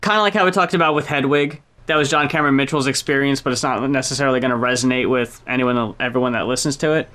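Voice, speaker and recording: A woman's voice: very deep voice, fast, hardly any pauses, a bit bright. A woman co-hosting a podcast, chatting casually, blunt, swearing freely and laughing often. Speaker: podcast co-host. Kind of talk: casual chat about music.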